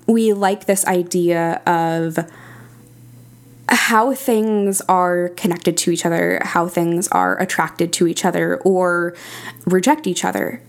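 A woman speaking as an interview guest, explaining things in an articulate, conversational way. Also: on the low side. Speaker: podcast critic and audio drama creator, guest.

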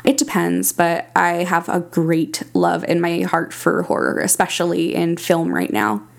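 Lower-voiced woman, enthusiastic interview guest. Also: a little slow, gravelly. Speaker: interview guest, writer and audio drama creator. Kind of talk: conversational interview.

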